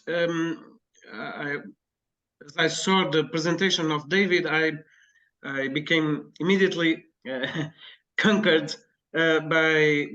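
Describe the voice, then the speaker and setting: A man from Portugal with a Portuguese accent, a teacher introducing himself on a video call, halting and searching for words. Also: on the high side, sharp tone, highs cut off.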